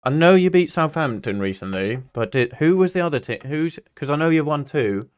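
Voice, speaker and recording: A man's voice: a little high, animated, fairly quick, clear, resonant tone. A man from Singapore hosting a casual football watch-along, rambling chattily between viewer chat, anecdotes and play-by-play. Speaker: football livestream host, Newcastle fan.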